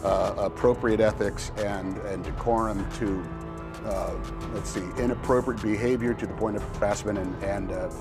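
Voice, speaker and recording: A man's voice: lowish voice, steady tone, moderate pace, music in the background. A man giving a conversational interview answer, deliberating and repeating key words for emphasis.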